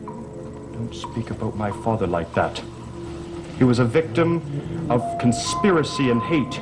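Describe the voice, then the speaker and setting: An actor playing a strange, sullen stepson with a deliberate, evasive, theatrical manner in a gothic soap opera. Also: male voice, mid-range voice, sing-song. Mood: brooding defiance. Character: strange, sullen stepson, coolly defiant and brooding. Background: music.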